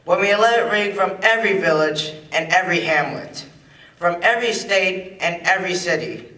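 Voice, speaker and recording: A man's voice: high-pitched, moderate pace, pushed and bright. A young man, a student reader, reciting a famous speech in an emphatic, declamatory way. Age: young adult. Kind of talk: dramatic reading of a famous speech. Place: large echoey room at a public event. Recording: echoey hall, far from the mic.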